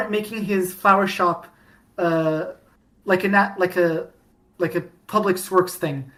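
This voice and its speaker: A woman's voice: mid-range voice, fairly bright tone. A woman co-hosting a fan podcast, talking casually with lots of restarts and fillers as she retells fandom history. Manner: rambling, many restarts and fillers, laughs often. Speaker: podcast co-host.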